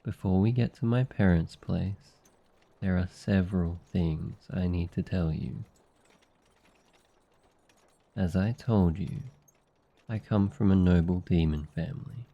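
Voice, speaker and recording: A man playing a gentle, devoted noble demon familiar in a boyfriend ASMR roleplay, speaking softly in a tender, earnest, unhurried way. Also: deep voice, gravelly, lo-fi sound, heavily acted. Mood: serious, explaining.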